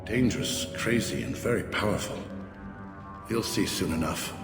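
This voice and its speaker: A man playing a stern, self-righteous alien prison warden in a video game, measured and authoritative. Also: lowish voice, expressive. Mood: stern, controlled. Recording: very echoey cavernous hall, loud music.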